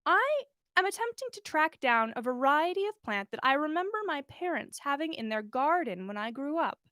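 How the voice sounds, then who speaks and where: Woman plays polite correspondent, formal and measured, higher register, close dry storybook narration. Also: very animated, fairly bright tone, no echo. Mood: courteous. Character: polite, formal letter-writer seeking a plant.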